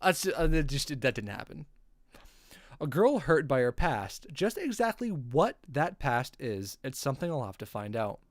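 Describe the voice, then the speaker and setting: A woman's voice: rumbling low, big pitch swings, moderate pace, dry recording. A woman streaming a visual novel, reading it aloud and chattily commenting on the story. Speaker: game streamer.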